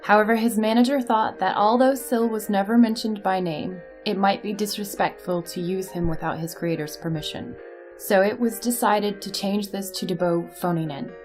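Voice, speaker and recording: A woman's voice: medium pitch, moderate pace, a little mellow, soft background music. A woman from a cast reading scripted behind-the-scenes notes. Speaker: cast member.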